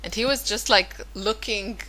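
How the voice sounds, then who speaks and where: A woman's voice: mid-range voice, normal speed, bright, forceful tone, poor audio. A woman whose first language is Hebrew, chatting loosely through a scrapbooking voice-over, full of fillers and self-corrections. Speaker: scrapbooking vlogger. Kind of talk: casual voice-over narration of a craft process.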